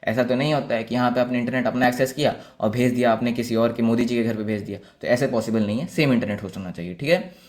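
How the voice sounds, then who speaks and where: A man with an Indian accent presents an informal coding tutorial in an average-pitched voice. His delivery is casual and offhand, as if he were explaining things to friends while going through the code on his screen. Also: fast, darkish tone.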